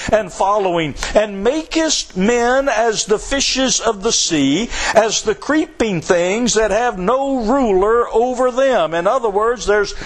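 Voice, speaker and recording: A man preaching a sermon, repeating phrases for emphasis and reading scripture aloud in old-fashioned wording. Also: very high voice. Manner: reads scripture aloud in King James wording.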